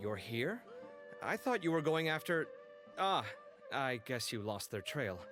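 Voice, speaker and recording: A matter-of-fact young scholar. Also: male voice, mid-range voice, sing-song, music in the background. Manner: brisk, matter-of-fact explaining. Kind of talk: game cutscene voice acting.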